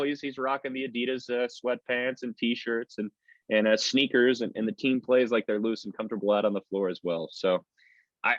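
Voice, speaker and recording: A man's voice: medium pitch, a bit bright, dry recording. A man who works as a play-by-play announcer, giving conversational sports analysis in an interview. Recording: slightly dull lo-fi audio.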